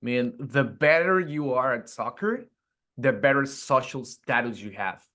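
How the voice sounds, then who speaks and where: Man, from Mexico, native Spanish speaker, relaxed, fillers and restarts, interview guest. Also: mid-range voice, a little slow. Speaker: gym owner, guest. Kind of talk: casual interview chat.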